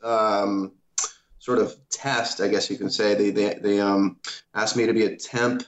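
A man from Iowa, a guest animator, answering casually and modestly with many pauses. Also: lowish voice. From Iowa, USA. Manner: modest, hesitant, many fillers. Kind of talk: casual interview. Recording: dull lo-fi audio.

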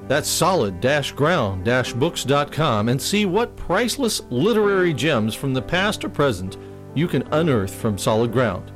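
A man's voice: medium pitch, sing-song, unhurried, soft background music. Upbeat man reading a sponsor spot. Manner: upbeat, promotional. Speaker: ad announcer. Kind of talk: sponsor read.

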